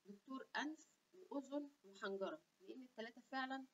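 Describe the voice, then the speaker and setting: A woman's voice: high voice, fast talker, a bit bright. A young woman with an Egyptian Arabic accent hosting a casual health vlog, talking animatedly and chatty with asides, recorded on a webcam in a small room. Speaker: health channel host. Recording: dull lo-fi webcam audio.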